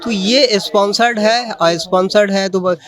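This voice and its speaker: High-pitched man. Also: moderate pace, fairly bright tone. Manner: emphatic, repetitive, leaning on a verbal tic. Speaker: member of the public being interviewed. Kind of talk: impromptu street interview opinion.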